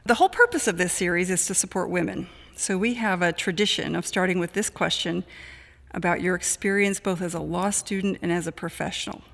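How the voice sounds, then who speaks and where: A woman's voice: on the low side, brisk, a bit bright. A woman hosting a podcast as a law school dean, reading an introduction and then chatting with her guest. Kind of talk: podcast interview. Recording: gravelly voice, long reverb, faint music.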